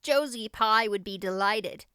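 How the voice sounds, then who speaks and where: A woman's voice: mid-range voice, sharp tone, smooth, dry recording, clean studio sound. A woman voicing a practical, chatty young girl, a loyal friend, in an audiobook. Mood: excited, gossipy. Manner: matter-of-fact, brisk, emphatic. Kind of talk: audiobook character voice.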